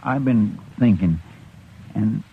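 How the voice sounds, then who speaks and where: A man's voice: on the low side, expressive, slow, phone-quality sound, a little hiss or hum. An actor playing a loyal, injured frontier deputy who stammers respectfully to his boss, on an old radio transcription. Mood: humble. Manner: stammering, deferential. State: weak, hurt.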